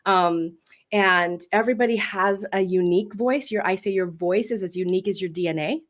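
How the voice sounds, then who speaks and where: A midlife woman with a big voice, telling her story as a voice coach in a casual interview, lively and laughing often. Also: mid-range voice, fairly quick. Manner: expressive storyteller with bursts of laughter. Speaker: voice coach and guest.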